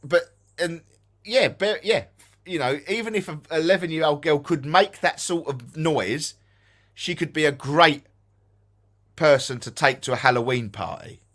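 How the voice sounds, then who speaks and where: Essex man, live-stream co-host, emphatic, slightly higher pitch. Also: unhurried. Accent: Essex English. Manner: animated, emphatic, repeats himself for effect. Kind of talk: casual live-stream chat.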